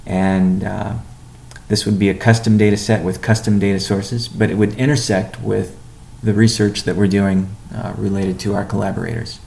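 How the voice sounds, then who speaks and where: A man giving a company update on a conference call as its chief executive, speaking in a measured, halting way with deliberate pauses. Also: lowish voice, little pitch movement, gravelly, a little mellow, a little hiss or hum. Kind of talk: business conference call presentation.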